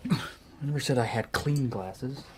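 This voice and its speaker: A man's voice: medium pitch, normal speed, lightly acted. An actor playing an awkward, wisecracking young male graduate student in a radio drama, stammering and self-deprecating. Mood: wry banter. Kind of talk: naturalistic radio drama acting. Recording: radio drama with background noise.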